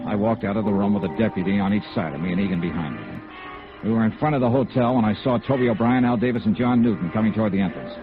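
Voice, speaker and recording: An actor playing a tough, wry male insurance investigator, narrating his case in clipped, deadpan 1950s radio noir style. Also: fairly low pitch, racing, close to an everyday voice. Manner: clipped, deadpan, hard-boiled. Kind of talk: 1950s radio noir acting, scripted first-person narration. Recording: muffled vintage radio recording with music.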